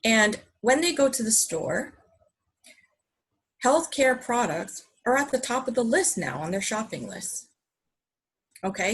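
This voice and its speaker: A woman's voice: medium pitch, slightly rough, rough recording. A woman from Hawaii giving an earnest, enthusiastic motivational presentation, often asking her listeners rhetorical questions. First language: English. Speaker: business presenter.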